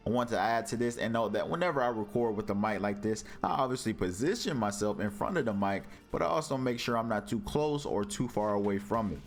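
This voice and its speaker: A man with a deep, low-set voice narrates a scripted gear review in a relaxed, friendly way, often calling his viewers y'all. He is recorded at home on a budget USB desk mic, with faint music underneath. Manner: casual, calls the audience y'all. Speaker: tech reviewer. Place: home room with carpet and a PC. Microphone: budget USB condenser desk mic.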